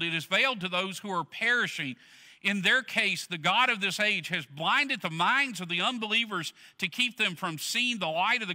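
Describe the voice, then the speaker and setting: A middle-aged man preaching a sermon from a church stage, earnestly swelling loud, then dropping low. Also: in a large hall. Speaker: pastor.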